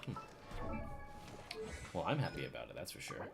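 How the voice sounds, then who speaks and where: A man's voice: a bass voice, very animated, moderate pace, vocal fry. A man streaming a game with casual, informal commentary, thinking aloud in hesitant bits. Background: game music.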